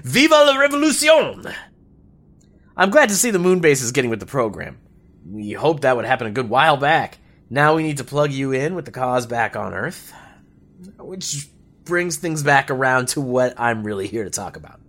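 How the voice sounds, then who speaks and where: A garrulous old rebel in an audio drama. His talk is folksy and theatrical, with pitch that swings about freely as he schemes and holds forth. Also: lively intonation, moderate pace, fairly bright tone. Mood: rousing, eager. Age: older. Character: jovial old male revolutionary, garrulous and scheming.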